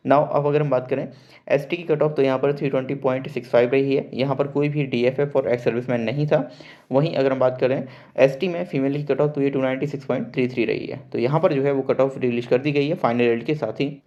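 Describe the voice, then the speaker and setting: A man's voice: medium pitch, steady tone, quick pace, dark tone. A man reading out an official notice in an explanatory way, mixing formal Hindi with English words and restating points simply. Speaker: presenter of exam result updates for job aspirants. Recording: dull, lo-fi voice recording.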